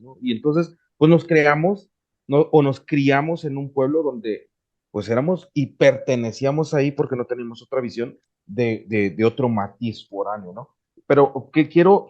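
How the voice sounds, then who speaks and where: A man's voice: mid-range voice, dark tone, highs cut off. A man from Morelos with a Mexican Spanish accent, a podcast guest talking earnestly with many restarts. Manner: earnest, with frequent restarts and hesitations. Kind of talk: casual interview conversation.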